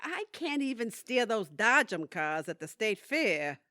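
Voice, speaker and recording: A woman acts out a wry older New Yorker in a radio-play comedy. Her voice is on the high side and swings up and down as she grumbles with sardonic bite. It is recorded dry. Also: measured pace, no echo, full character voice. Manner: sardonic, deadpan complaining. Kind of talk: audio theatre comedy acting.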